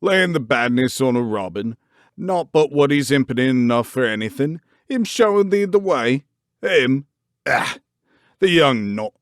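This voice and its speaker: A man's voice: mid-range voice, animated, a little slow, no echo, clean studio sound, pitched above the performer's own voice, close to an everyday voice. A man reading the part of a grumpy old gardener with a Yorkshire accent, ranting and scolding. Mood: indignant. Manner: ranting, harsh dialect scolding.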